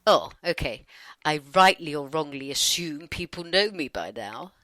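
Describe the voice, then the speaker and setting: Animated, bright-voiced woman reading aloud. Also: sits low, dry recording, clean studio sound. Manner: expressive, playful, emphatic pauses. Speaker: channeler reading her own questions. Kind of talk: scripted dialogue read aloud, conversational.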